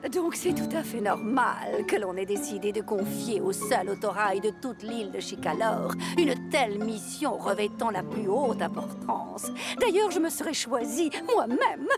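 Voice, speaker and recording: A woman playing a vain, fussy, excitable female railcar in a children's cartoon, haughty and theatrical. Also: mid-range voice, a little slow, few pauses, over loud music. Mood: self-important. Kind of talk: children's animated series dub.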